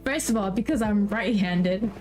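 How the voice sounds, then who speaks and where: A woman's voice: mid-range voice, moderate pace, over music. A young woman hosting a casual chat, teasing her guest, laughing often and repeating herself. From New York. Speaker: podcast host.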